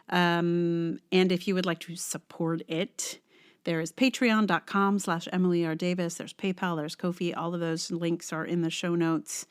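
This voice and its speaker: A woman's voice: on the low side, smooth. A woman podcast host chatting casually in a rambling, conversational way.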